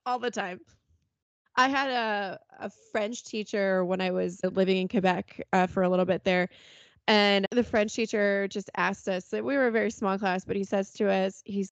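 A relaxed, chatty woman hosts a podcast and laughs easily. Her voice is recorded dry, with a slightly dull, lo-fi sound. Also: mid-range voice, moderate pace, dry recording. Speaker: podcast host. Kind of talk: casual podcast chat.

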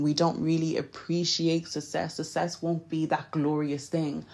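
Low-voiced Nigerian woman giving pep talk. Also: darkish tone. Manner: earnest and direct, occasional laughs and self-corrections. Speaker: podcast host. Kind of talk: casual motivational talk.

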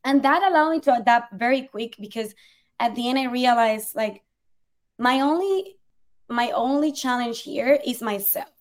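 A woman's voice: a little high, moderate pace. Upbeat woman with a Puerto Rican accent. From Puerto Rico. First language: Spanish. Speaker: guest. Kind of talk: conversational storytelling.

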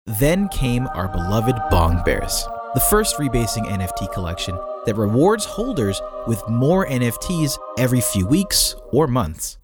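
A man's voice: mid-range voice, slightly rough. A man reading a scripted article aloud as a narrator, with a tongue-in-cheek manner.

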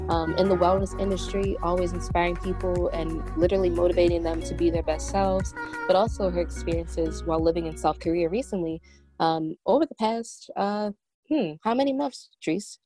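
A woman's voice: lowish voice, steady tone, fairly quick, over music. A woman hosting a conversational podcast interview, speaking in an effusive, rambling way. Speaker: podcast host.

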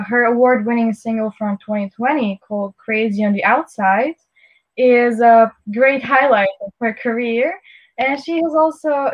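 A woman co-host on a live online show, reading out thanks and a guest introduction in a brisk, upbeat way over a video call. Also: fairly high pitch, dry recording, muffled recording.

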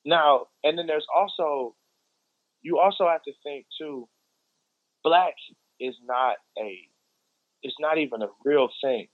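A man's voice: on the high side, a little slow, pauses often, no room sound, narrow, tinny audio. A man with an American accent explaining his views in casual conversation, emphatic and repeating phrases for stress.

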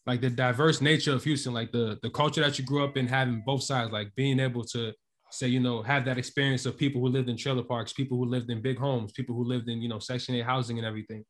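A man's voice: medium pitch, steady tone, fast. A man hosting a casual podcast interview, speaking informally with slangy phrasing. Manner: informal, slangy, filler-laden. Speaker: podcast host.

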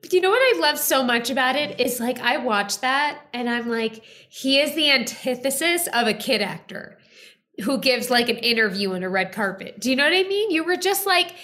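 A woman's voice: high voice, animated, moderate pace, sharp tone. A woman co-hosting a podcast, gushing with enthusiasm. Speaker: podcast co-host. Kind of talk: casual podcast chat.

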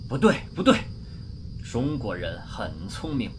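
An actor playing an excitable, hopeful male astronaut in a science fiction radio drama. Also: medium pitch, a little slow, noisy background. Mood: wondering. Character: Amir, eager male astronaut, believer.

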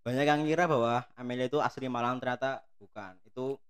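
Young male co-host from Cilacap, easygoing and teasing, smooth clear voice, dry sound. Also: mid-range voice, fairly even intonation, quick pace, no room sound. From Cilacap, Indonesia. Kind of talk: casual podcast chat.

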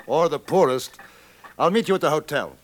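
An actor playing a courteous, cool-headed gentleman adventurer, narrating and acting formally in a 1950s radio drama. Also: fairly high pitch, expressive, close to an everyday voice. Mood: polite. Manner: polite, formal, measured.

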